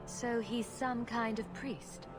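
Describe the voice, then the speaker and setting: A woman's voice: a little high, fairly even intonation, slow pace. An actress playing a self-assured, witty female adventurer with a cool, direct manner in a video game. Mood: questioning. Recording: music clearly behind the voice.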